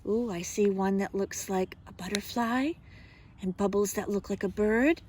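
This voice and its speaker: A woman kindergarten teacher speaking simply and expressively to young children, in a deliberate storytime manner. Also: medium pitch, a little mellow, a little hiss or hum. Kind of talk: children's storybook read-aloud and simple instruction.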